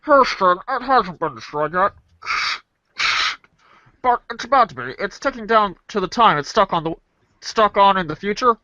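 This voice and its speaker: High, swooping male reader. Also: animated, normal speed, bright, forceful tone, no room sound, muffled recording. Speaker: podcast reader. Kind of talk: theatrical read-aloud of a webcomic script.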